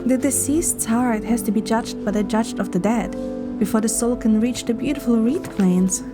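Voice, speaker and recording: A woman voicing a curious, polite, slightly flustered young woman in a romance story, expressive and a little hesitant. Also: fairly high pitch, moderate pace, natural voice. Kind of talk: scripted romance story dialogue. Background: clear background music.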